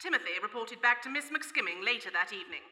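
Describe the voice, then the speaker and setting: A woman playing the narrator of a live radio mystery, telling the story in a measured, scripted manner. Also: fairly high pitch, lively intonation, heavy background noise, natural voice. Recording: echoey hall, music behind, live recording.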